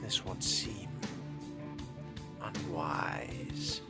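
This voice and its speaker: A man's voice: deep voice, very slow, exaggerated voice. A man playing a suave, predatory vampire in video game role-play, speaking formally and theatrically in a sardonic, often whispery murmur. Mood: sly musing. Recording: voice over loud game music.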